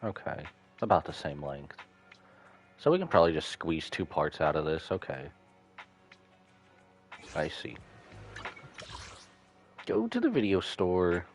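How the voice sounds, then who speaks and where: Male game streamer: very deep voice, animated intonation, casual musing reactions, faint music behind. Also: unhurried, long pauses. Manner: musing aloud in short remarks. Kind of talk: casual gaming stream commentary.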